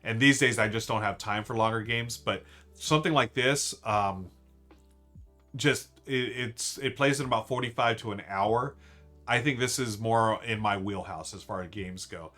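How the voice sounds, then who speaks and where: A man with a lowish, bright voice chats casually on a live stream, rambling with fillers and quick restarts. Faint music plays behind him. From United States. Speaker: board game streamer ranking monthly games.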